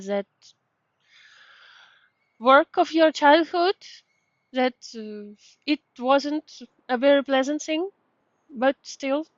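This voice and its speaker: A woman, a native Russian speaker with a Russian accent, giving casual, halting, encouraging commentary as she streams a game. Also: sits high, no echo, highs cut off. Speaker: VTuber streamer. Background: faint game music.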